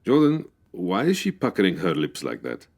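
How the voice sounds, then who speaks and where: An officious police official from a forties-style radio mystery. His voice is very deep yet moves through wide swings of pitch, formal and precise in every phrase. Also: wildly swooping pitch, normal speed, darkish tone, heavily acted. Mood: puzzled. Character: formal, exasperated male Cairo police official. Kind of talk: 1940s detective radio drama pastiche.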